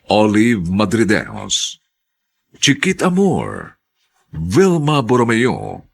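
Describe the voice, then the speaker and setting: A male radio drama announcer with a deep, gravelly voice. He reads in a formal, theatrical style with hugely swooping intonation. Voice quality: gravelly, husky.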